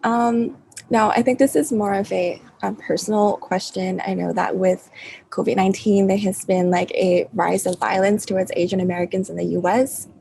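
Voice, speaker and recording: A woman's voice: mid-range voice, fairly even intonation, normal speed, vocal fry, dark tone, husky, rough recording. A woman with a Singaporean English accent, interviewing a guest over a video call in a friendly, upbeat way. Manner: friendly, upbeat, laughs often.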